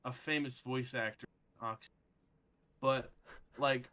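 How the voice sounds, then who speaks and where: A man's voice: mid-range voice, fairly even intonation, takes it slowly, sharp tone. A young man of twenty in casual live-stream chat, rambling earnestly with many fillers. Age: 20s.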